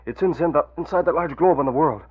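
An actor playing a decisive, cool crime-fighter in a 1940s radio drama, brisk and authoritative. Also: male voice, fairly high pitch, breathy edge. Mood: explaining. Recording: muffled old radio recording.

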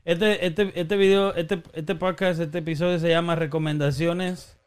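Joking Dominican man, higher voice. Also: measured pace, clean tone. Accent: Dominican Spanish. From Dominican Republic. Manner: joking, animated, teasing. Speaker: podcast host. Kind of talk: casual podcast chat.

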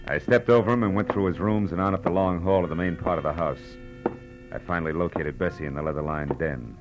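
An actor playing a tough, wry American private detective in 1940s radio style, clipped and wisecracking. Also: male voice, sits low, fast talker, highs cut off, lightly acted. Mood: terse narrating. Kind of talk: 1940s radio detective acting and first-person narration. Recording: old radio drama with music behind.

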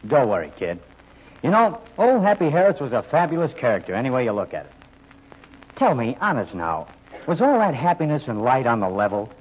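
A man's voice: mid-range voice, wildly swooping pitch, brisk, breathy edge, lo-fi sound. A man playing a brash, skeptical newspaper reporter in old-time radio style, with a slangy, needling, wisecracking manner.

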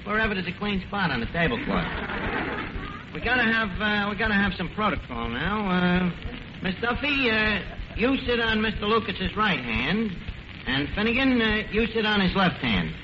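A pompous tavern manager in an old 1940s radio comedy. His voice sits high and swings up and down as he fumbles through mock-formal speeches. The recording is dull and noisy. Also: very animated, moderate pace. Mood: bossy, fussy. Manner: mock-formal, malapropisms, hesitant. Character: pompous, slangy tavern manager mangling big words. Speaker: comic master of ceremonies.

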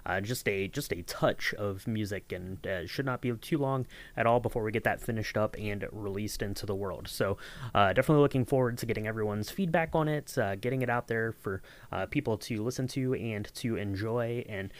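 A man's voice: on the low side, brisk. Rambling, affable man hosting a podcast. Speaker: podcast host and audio editor. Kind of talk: casual podcast chat.

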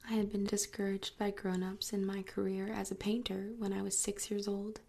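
A woman narrating a story in a soft, steady whisper, reading it like an audiobook. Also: mid-range voice, vocal fry, husky.

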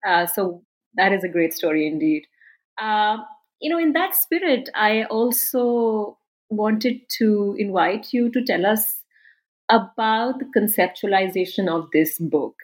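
A woman's voice: mid-range voice, animated, measured pace. A woman hosting an academic interview, asking long, winding questions with many fillers. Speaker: podcast host, interviewer.

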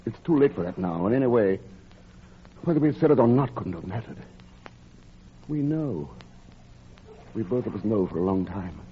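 An actor plays an ardent gentleman lover from a vintage radio romance. His voice sits fairly low, courteous yet urgent and pleading. The 1940s recording is muffled, with faint noise. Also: animated, normal speed, pauses often, close to an everyday voice. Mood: insistent. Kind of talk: 1940s radio drama acting.

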